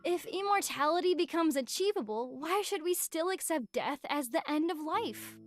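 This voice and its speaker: An actress playing an earnest, inquisitive young student in a video game. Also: female voice, high-pitched, expressive, moderate pace, faint music behind. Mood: eager, questioning. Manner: enthusiastic, earnest. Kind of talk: scripted game voice acting.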